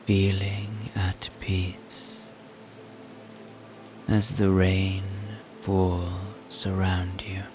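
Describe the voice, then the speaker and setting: A man narrating a scripted guided meditation in a soothing, hushed, gentle manner. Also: low-pitched, completely flat delivery, drawn-out words, slight background noise.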